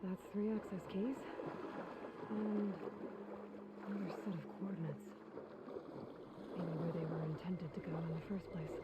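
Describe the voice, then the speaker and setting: A woman's voice: lowish voice, level tone, a little slow, long pauses, close to an everyday voice. A woman playing a resolute, wry adventurer in a video game, thinking aloud in dry, understated remarks. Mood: wry, musing. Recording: noisy watery game ambience behind voice.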